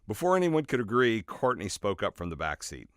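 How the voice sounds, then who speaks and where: A man reading his own nonfiction book as scripted narration, in a measured, expressive storytelling way with emphatic phrasing. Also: lowish voice, clean tone, dry recording, studio quality. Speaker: author reading own audiobook.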